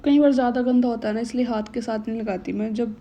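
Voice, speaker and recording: A woman's voice: fairly high pitch, steady tone, fast talker, warm tone. A young woman with a sore throat narrating her day in a casual home vlog, chatty and mixing English words into Hindi. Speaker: vlogger.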